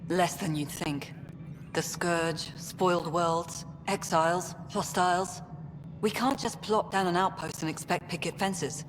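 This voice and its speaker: An actress playing a jaded, blunt and sardonic colony director in a video game, in a noisy, echoing space. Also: female voice, on the low side, steady tone, measured pace, close to an everyday voice. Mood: guarded, weary. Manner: sardonic, terse. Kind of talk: video game dialogue acting.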